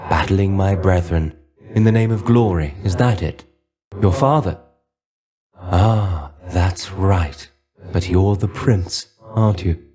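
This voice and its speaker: A man's voice: low-pitched, dark tone. A man voicing a weary, brooding dead Greek warrior in a video game, resigned and aloof, with formal, archaic phrasing. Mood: bitter, dismissive. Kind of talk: video game character dialogue.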